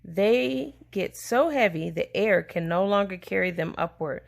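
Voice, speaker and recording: A woman reading a children's science book aloud to a child in a deliberate, explaining way, pausing to answer questions. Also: medium pitch, lively intonation, gravelly.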